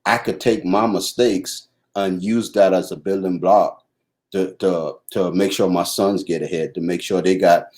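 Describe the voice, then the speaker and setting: Deep-voiced Bahamian man, halting speech. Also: fairly even intonation, darkish tone. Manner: halting, many restarts and fillers. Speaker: husband co-host. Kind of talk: casual conversation.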